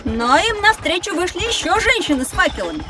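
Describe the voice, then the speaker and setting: A woman voicing a curious, excitable young boy in a children's educational drama, eager and emotive. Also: very high voice, a little fast, recorded from a distance, over music. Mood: excited, worried.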